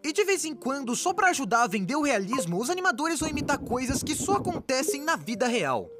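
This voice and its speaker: A woman voicing an excitable, cheeky young cartoon YouTuber, narrating an explainer in a chatty, jokey way that speaks straight to the viewer. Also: high voice, quick pace, loud music behind the voice. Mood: chatty, enthusiastic. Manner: chatty, exclamatory, addresses the viewer directly.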